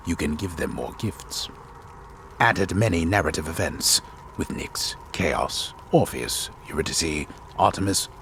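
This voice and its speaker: A solemn, disembodied male storyteller from a video game, speaks in a very deep voice. The delivery is deliberate and wryly theatrical, with lively rises and falls that keep a deadpan gravity. There is faint noise behind the voice. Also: full character voice. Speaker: game narrator. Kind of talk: dramatic reading of a scripted text.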